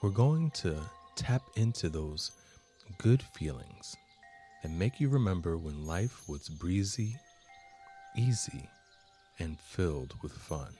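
Slow, deep-voiced man guiding meditation. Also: lively intonation, mellow, faint music behind. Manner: measured, soothing, deliberate phrasing. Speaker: podcast host and meditation guide. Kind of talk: guided meditation narration.

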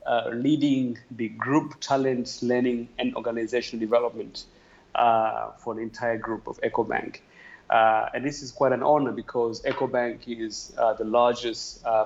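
A man from Tanzania, a guest calling in remotely to a podcast, answering thoughtfully with many "uh" pauses. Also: medium pitch, gravelly, lo-fi sound. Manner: thoughtful, many "uh" fillers, earnest. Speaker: podcast guest, talent development executive. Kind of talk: conversational interview answer.

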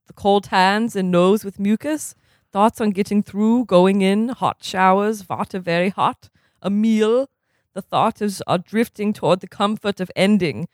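A man's voice: sits high, dry recording, clean studio sound, pitched above the performer's own voice. A man voicing a stern older male tennis coach who lectures in stilted, broken English with a put-on foreign accent. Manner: pacing rhetorical lecture, ends with "yes?". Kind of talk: audiobook character reading.

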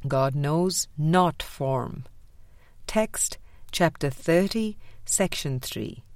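A woman narrating a spiritual audiobook in a measured, deliberate way with frequent pauses. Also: sits low, lively intonation, darkish tone, no echo. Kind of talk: scripted spiritual book reading.

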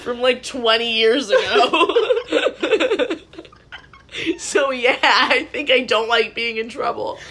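A woman with a husky, slightly high voice co-hosts a podcast. She chats casually at an unhurried pace. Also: lively intonation, a bit bright. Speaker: podcast co-host. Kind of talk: casual chat.

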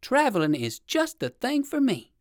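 A woman voicing a polite, curious old gentleman rabbit in a children's storybook, with courteous old-fashioned manners. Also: on the high side, wildly swooping pitch, moderate pace, dry recording, clean studio sound, pitched above the performer's own voice. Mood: jolly. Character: polite, eager elderly gentleman rabbit with rheumatism.

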